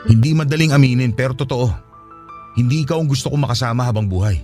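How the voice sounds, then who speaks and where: A man's voice: medium pitch, quick pace, darkish tone, soft background music, close to an everyday voice. A man acting a blunt, defensive young father leaving his partner in a Filipino radio drama. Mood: resigned.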